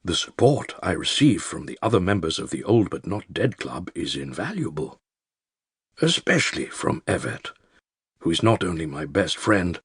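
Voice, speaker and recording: An actor playing an elderly male care-home resident with a British accent, narrating his secret diary in a dry, wry, measured and articulate way with a gravelly, husky voice. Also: very deep voice, fairly bright tone, no room sound, full character voice. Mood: quietly determined. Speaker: audiobook narrator. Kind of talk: scripted first-person diary narration.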